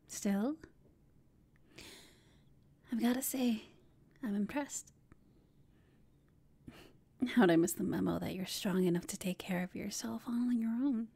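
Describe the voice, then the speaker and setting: A woman playing a flirtatious, smug female villain who taunts an enemy in a breathy whispered ASMR roleplay. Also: a little high, dark tone, full character voice. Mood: smug teasing. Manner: slow, teasing, smug.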